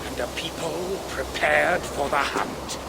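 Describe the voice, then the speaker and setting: An actor playing a sly, half-mad monk in a video game, creaky and portentous, speaking in riddles. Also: male voice, a little high, slow pace, noisy background. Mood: ominous satisfaction. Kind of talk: dramatic video game acting.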